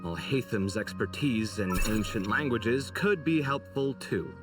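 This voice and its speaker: A man voicing an expressive, exasperated but good-hearted scholar in a video game, with a theatrical, sarcastic, emphatic delivery. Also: medium pitch, measured pace, distant mic, over music, close to an everyday voice. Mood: friendly, eager. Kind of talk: scripted video game voice acting.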